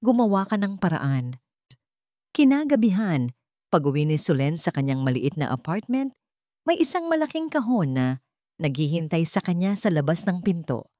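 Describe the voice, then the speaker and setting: A woman's voice: fairly low pitch, big pitch swings, normal speed, mellow, dry recording, clean studio sound. A woman storyteller reading a scripted romance story in a dramatic narrating style.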